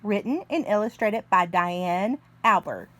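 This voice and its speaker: A woman reading a picture book aloud to young children in an expressive, deliberate way. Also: mid-range voice. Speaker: children's story reader, early learning teacher.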